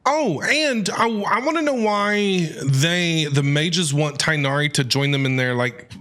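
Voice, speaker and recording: A male game streamer with a high-pitched voice. He comments casually on the playthrough, talking back to the story and thinking out loud in a chatty way. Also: expressive, unhurried. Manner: chatty, reacting aloud.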